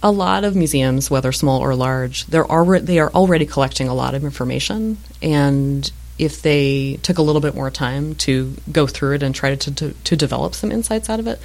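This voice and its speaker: Low-voiced woman with gravelly fry. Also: normal speed, hardly any pauses, darkish tone. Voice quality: low-pitched voice. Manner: thoughtful, frequent fillers and restarts. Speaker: museum voice-over artist and guest. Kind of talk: casual interview answers.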